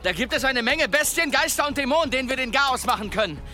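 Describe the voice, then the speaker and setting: A pious, boastful adventurer in a fantasy radio play. His voice sits high and sounds bright and forceful. He grumbles theatrically and declaims with wry relish over faint music. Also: lively intonation, quick pace, exaggerated voice. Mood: excited, calling out. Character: one-armed adventuring demon hunter, pious, boastful, grumbling. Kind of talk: audio drama acting.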